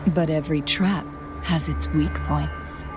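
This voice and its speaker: Serious scholarly young game guide lady, slightly low voice, formal and careful, music playing under it. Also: fairly even intonation. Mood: alert, serious. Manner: measured, formal, earnest. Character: composed, scholarly, watchful young woman, guide and ally. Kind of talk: video game voice acting.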